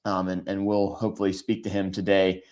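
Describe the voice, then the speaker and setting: A man with a raspy, hoarse voice hosts a sports podcast, talking through the news in a casual, conversational way with frequent ums. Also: low voice, completely flat delivery, fairly quick, darkish tone. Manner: conversational, many filler ums. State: hoarse from shouting.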